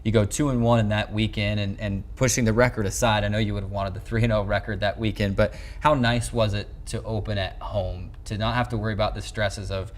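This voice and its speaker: Even-toned young American man interviewing. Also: lowish voice, fairly quick, few pauses. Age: 20s. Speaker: sports show host, interviewer. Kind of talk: conversational sports interview. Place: small indoor locker-room set. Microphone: lapel mic.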